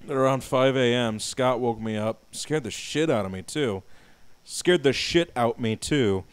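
A man's voice: medium pitch, unhurried, smooth, no echo. A young man co-hosting a game playthrough, reading a diary entry aloud with mock drama and joking with his partner. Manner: laughs loudly, reads the diary with mock drama. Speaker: let's play co-host.

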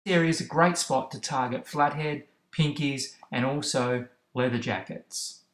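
A man talks through fishing directions in a steady, instructive voice that sits in an ordinary middle range. The recording has a roomy echo around it. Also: medium pitch, normal speed. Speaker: fishing channel host. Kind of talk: instructional voice-over about kayak fishing spots.

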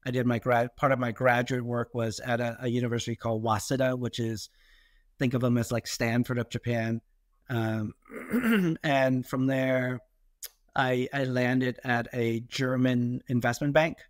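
Middle-aged male, American accent, mid-pitched voice. Reflective, halting interview delivery, recorded dry. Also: level tone, dry recording. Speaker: podcast guest, investor. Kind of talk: conversational life-story interview.

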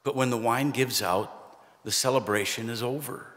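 A middle-aged male pastor delivers a sermon at a pulpit in a church sanctuary. He speaks in a conversational way with gentle humor and pauses for emphasis. His voice sits at a medium pitch and carries a long church reverb. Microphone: pulpit microphone, far camera.

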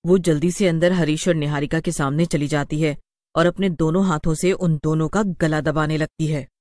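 A woman reading scripted story narration for an animated tale. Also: sits low, normal speed, dry recording, clean studio sound.

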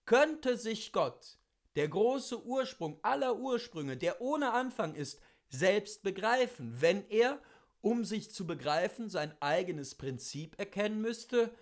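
Male narrator for literary audiobook: high voice, very lively intonation, theatrical declamatory phrasing. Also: slow. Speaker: reader of literature. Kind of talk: expressive literary audiobook reading.

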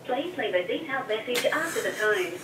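A woman's voice: a little high, animated, fairly quick. A woman reading a scripted voicemail announcement, played through a telephone speaker. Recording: noisy distant speakerphone in echoey office.

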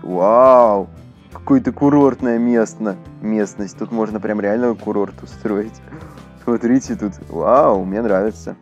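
A man's voice: on the low side, a little mellow, lo-fi sound. A man doing casual, chatty let's play commentary, talking to his viewers as he plays. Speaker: gaming YouTuber.

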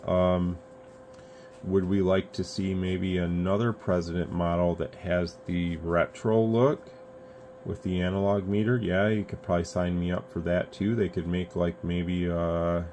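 A man's voice: deep voice, unhurried. An American man giving casual, unscripted product commentary as a video host, rambling along conversationally. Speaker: radio reviewer, video host. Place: indoors at an electronics radio bench. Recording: steady background noise, room ambience.

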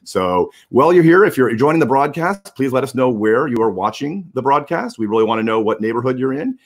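A man's voice: medium pitch, sing-song, a little fast. A middle-aged man, a livestream producer, talking casually on a video call from a room at home. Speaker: producer, co-host. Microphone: video call mic.

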